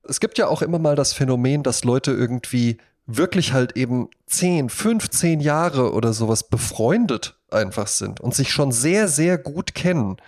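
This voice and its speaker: A man's voice: mid-range voice, normal speed, hardly any pauses. Male podcast co-host, casual voice. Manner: animated storytelling, acts out imagined quotes.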